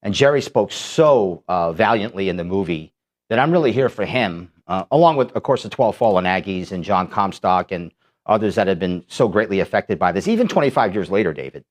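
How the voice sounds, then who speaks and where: Low-voiced chatty filmmaker from Manhattan. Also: male voice, expressive, brisk. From Manhattan, New York. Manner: chatty, storytelling, laughs easily. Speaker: guest documentary filmmaker. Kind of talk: casual studio interview. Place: radio-style studio.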